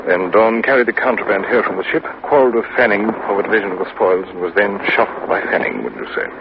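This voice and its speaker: An actor playing a courteous, unflappable British police inspector with a clipped, formal manner, in 1950s radio style. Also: male voice, lowish voice, lively intonation, quick pace. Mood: decisive. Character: courteous, unflappable male Scotland Yard inspector, formal and precise. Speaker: supporting actor in a radio drama. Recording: muffled, noisy vintage radio recording.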